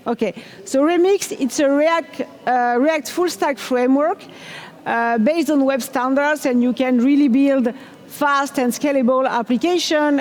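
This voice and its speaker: A middle-aged woman with a French accent presents a technical talk at a conference, upbeat and quizzing the crowd now and then. Her voice sits high. The sound carries the long reverb of a big hall. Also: a little mellow. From France. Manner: enthusiastic, asks the audience questions. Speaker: conference speaker. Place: large conference hall on stage.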